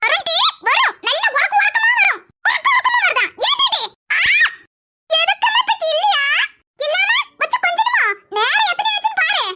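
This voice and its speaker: A woman voicing a scolding, sarcastic cartoon mother with a southern Tamil Nadu accent and a nagging, sharp-tongued manner. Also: very high-pitched, animated, moderate pace. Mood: exasperated, sarcastic. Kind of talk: cartoon character dialogue. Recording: very loud background noise.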